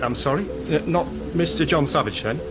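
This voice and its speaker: A man's voice: a little high, expressive, a little fast, lightly acted. Fussy, officious male tour guide played by an actor. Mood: officious, proud. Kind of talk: radio drama acting. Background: music.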